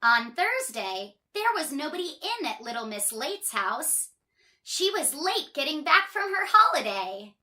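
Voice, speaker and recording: High, bright, very animated woman storyteller. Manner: expressive, drawn-out emphasis. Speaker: children's storybook reader. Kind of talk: animated read-aloud storytelling for children.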